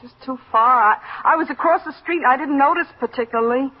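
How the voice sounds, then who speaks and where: An actress playing a nervous, apologetic witness in an old radio drama, hesitant and faltering. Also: female voice, high-pitched, fairly quick. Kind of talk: 1950s radio drama acting. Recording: noisy muffled old radio recording.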